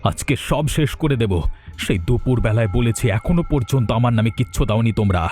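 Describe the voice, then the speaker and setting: A man's voice: medium pitch, brisk, a little mellow, natural voice. A man playing a greedy, murderous grown son in a horror cartoon, menacing, defiant and theatrical. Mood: murderous rage. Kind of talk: melodramatic horror cartoon acting. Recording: faint music behind the voice.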